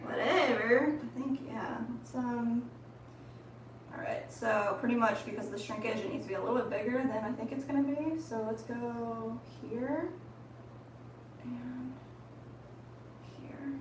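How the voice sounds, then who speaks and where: Chatty woman pottery streamer, slightly high voice, thinks aloud with fillers; distant mic, very echoey studio. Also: unhurried, long pauses, slight background noise. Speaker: ceramics livestream co-host. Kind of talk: casual livestream chat while making pottery.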